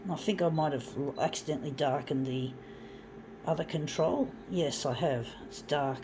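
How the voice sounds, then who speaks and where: A middle-aged woman talks in a casual, meandering way, full of ums and restarts. Her voice sits on the low side. A faint hiss of noise runs under the recording. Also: normal speed. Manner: rambling, many fillers and restarts. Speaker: zine and mixtape maker. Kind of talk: casual reminiscing while working.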